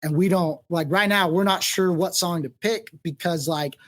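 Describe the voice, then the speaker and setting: A man's voice: high voice, moderate pace, fairly bright tone. A man speaking as a touring band musician in a casual interview, explaining things in an earnest way.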